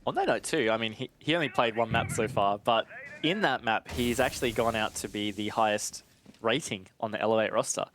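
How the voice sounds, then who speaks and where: A man's voice: fairly low pitch, brisk, a bit bright, slight background noise. A man giving conversational live analysis as an esports caster, in an informal way with casual asides.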